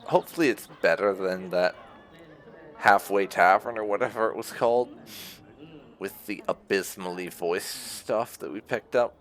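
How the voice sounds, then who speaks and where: A man's voice: on the low side, moderate pace, fairly bright tone. A man giving casual playthrough commentary, rambling a little as he thinks aloud. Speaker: gaming video host.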